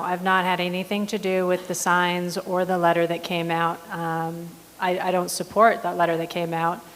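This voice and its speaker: A woman running for county supervisor, answering in a debate in a firm, measured way with frequent ums. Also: lowish voice, a little hiss or hum. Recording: long hall reverb.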